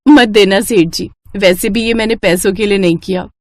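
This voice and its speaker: A woman's voice: medium pitch, steady tone, normal speed, dry recording, clean studio sound, natural voice. A woman voicing a humble, hardworking poor mother in a children's moral story, deferential and earnest.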